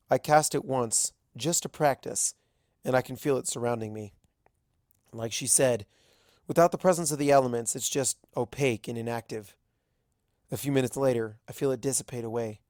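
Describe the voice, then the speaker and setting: A man narrates a first-person story in a middle-pitched voice. It is scripted reading, recorded close and dry with no room sound. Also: darkish tone, no echo. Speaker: fan fiction reader.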